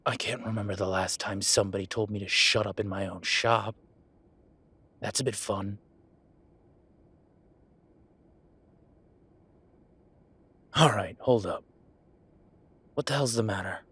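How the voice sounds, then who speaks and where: Male actor as gruff merman tattoo shop owner, low-pitched, husky, flippant, conversational audio roleplay. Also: fairly even intonation, normal speed, pauses often, a bit bright. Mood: irritated, sardonic. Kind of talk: naturalistic one-sided audio roleplay.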